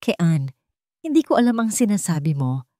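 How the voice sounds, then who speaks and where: A woman's voice: fairly low pitch, big pitch swings, normal speed, warm tone, no echo, clean studio sound. A woman with a Filipino accent voicing a guarded, evasive man with a reserved manner in an audio story. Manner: denying, reserved.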